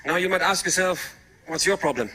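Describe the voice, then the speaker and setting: A man's voice: sits high, fairly quick, sharp tone, low-quality recording. A man in his thirties from Germany performing a stand-up comedy routine, telling stories with joking asides. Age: 30s. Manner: storytelling with joking asides and mock-broken quotes. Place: comedy stage before a live audience. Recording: crowd audible in a live venue.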